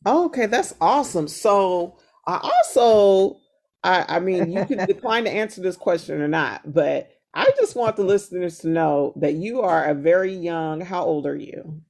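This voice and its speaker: A woman's voice: fairly low pitch, expressive, normal speed, darkish tone. A woman hosting a podcast interview, upbeat and enthusiastic, with many fillers and restarts. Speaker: podcast host.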